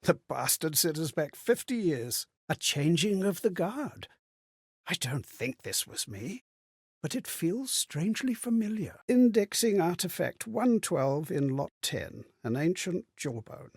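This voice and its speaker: Husky, haunted, bewildered man. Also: a little high, very animated, darkish tone, dry recording, clean studio sound, heavily acted. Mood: bewildered, musing. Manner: theatrical, halting, wondering. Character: haunted, bewildered man recalling fragmented, strange memories. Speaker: video game voice actor. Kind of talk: dramatic game character monologue.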